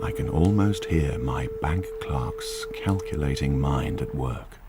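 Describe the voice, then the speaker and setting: Very deep, gravelly ominous storyteller. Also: male voice, animated, noisy background, full character voice. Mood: ominous, knowing. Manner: slow, deliberate, insinuating. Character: brooding, ominous male storyteller with sardonic knowingness. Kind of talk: dramatic scripted narration. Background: loud music.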